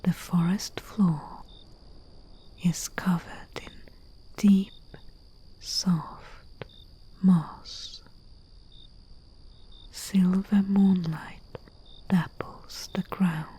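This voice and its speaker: A woman playing a humble, soothing herbalist in a soft-spoken ASMR roleplay, with a breathy whisper and a hushed manner. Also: on the low side, steady tone. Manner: slow, hushed, soothing, with long pauses. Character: humble female herbalist, soothing and deferential servant to nobility. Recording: faint hiss with chirping night crickets. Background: crickets and night insect ambience.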